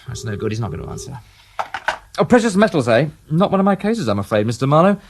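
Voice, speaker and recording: A wry, weary detective inspector. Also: male voice, fairly high pitch, sing-song, quick pace. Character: dry, sardonic male detective inspector, middle-aged. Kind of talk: radio drama acting.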